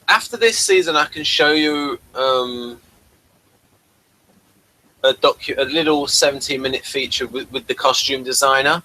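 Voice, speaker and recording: A man's voice: mid-range voice, bright, forceful tone, rough recording. A man hosting a casual review chat, explaining things and giving his opinions. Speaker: podcast host reviewing classic TV episodes.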